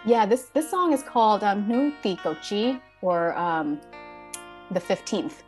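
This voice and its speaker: A woman's voice: mid-range voice, lively intonation, unhurried, darkish tone, soft background music. A woman guest speaking reflectively in casual conversation, with many fillers and restarts. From born in Thailand.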